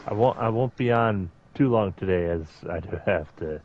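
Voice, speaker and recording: A man chatting casually while playing a game, relaxed and easygoing. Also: sits low, normal speed, muffled recording. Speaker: guest player. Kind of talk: casual gaming chat.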